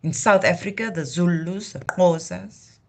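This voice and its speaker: Impassioned woman, low voice. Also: unhurried, darkish tone. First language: Afrikaans. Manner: declamatory, passionate. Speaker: livestream host. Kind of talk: impassioned heritage talk.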